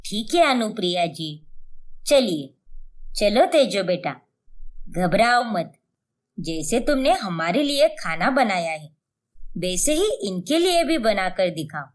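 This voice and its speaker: Casting: woman as middle-aged cartoon mother, doting and boastful, lively swooping pitch, unhurried coaxing delivery. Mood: flustered. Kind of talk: acted animated moral story.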